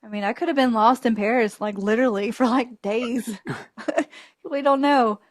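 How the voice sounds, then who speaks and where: A woman's voice: on the high side, measured pace, dry recording, clean studio sound. A woman hosting a podcast, telling a travel mishap with frequent laughter and acted-out dialogue. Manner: animated, laughing storyteller acting out voices in her story. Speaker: podcast host. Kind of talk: casual travel storytelling.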